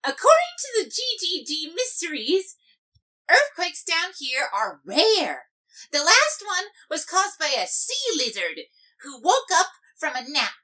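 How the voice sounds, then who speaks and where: A woman voicing an excitable, bubbly young female pony in a cartoon comic dub, chirpy and gushing. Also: very high-pitched, unhurried, full character voice, low-quality recording. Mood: excited, awed. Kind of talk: animated comic dub voice acting.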